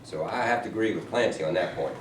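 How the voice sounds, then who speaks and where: A man's voice: sits low, fast talker, close to an everyday voice. A man reading a pragmatic, plain-spoken Southern union president in a staged play. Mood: firm. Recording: echoey room, distant mic, faint noise.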